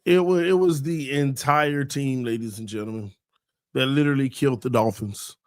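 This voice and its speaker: Male sports host, solo live show, sing-song hype delivery, voice going hoarse, dry mic. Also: medium pitch, normal speed, no room sound. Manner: sing-song, hyped morning greetings. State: losing his voice.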